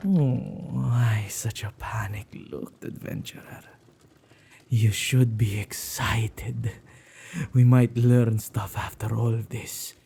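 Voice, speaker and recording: A man playing a playfully menacing, possessive male witch in an ASMR roleplay, with a dark, gravelly, husky voice that often drops to whispers and a teasing, drawn-out delivery. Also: medium pitch, exaggerated voice. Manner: teasing, unhurried, with drawn-out words and hesitant repeats.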